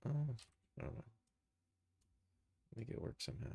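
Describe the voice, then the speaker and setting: A man's voice: normal speed, dark tone. A man streaming a casual tutorial, thinking aloud informally as he works. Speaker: streamer, game developer and animator. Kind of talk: casual live screen-share tutorial.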